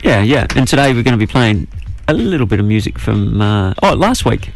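A man with a booming voice, co-hosting a radio show in the studio with casual, self-mocking banter. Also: sing-song, brisk, creaky, soft background music. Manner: jokey, self-mocking, rambling. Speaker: radio co-host.

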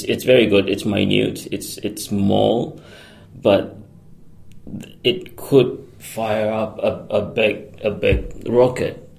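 A man speaks in a very deep, heavily gravelly voice. He talks slowly, pausing often with ums and restarting his sentences as a radio interview guest. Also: a little mellow. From Singapore. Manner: hesitant, many fillers and restarts; laughs often. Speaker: guest, electronics engineer.

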